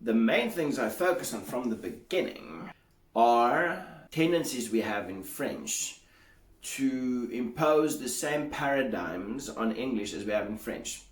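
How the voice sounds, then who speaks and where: A man teaching English pronunciation in a measured, explanatory way, sounding out examples aloud. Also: mid-range voice, expressive, echoey room. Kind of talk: solo teaching monologue.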